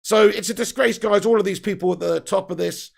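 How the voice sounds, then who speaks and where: A young man hosting a football fan live stream, reading out viewer comments in a heated, sweary rant, his voice croaky and tired. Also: high-pitched, fairly even intonation, fairly quick. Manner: heated, sweary ranting with laughs. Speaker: football fan channel host.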